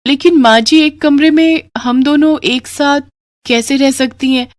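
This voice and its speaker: A woman's voice: high-pitched, fairly even intonation, a little slow, dry recording, clean studio sound, voice pushed up. A woman voicing an indignant young bride in a family cartoon, in a complaining, pleading manner.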